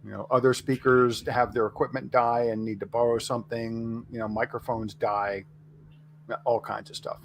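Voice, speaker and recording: A man's voice: medium pitch, level tone, normal speed. A man talking casually as a panelist on a developer chat. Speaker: panelist and conference organizer. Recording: clear background noise.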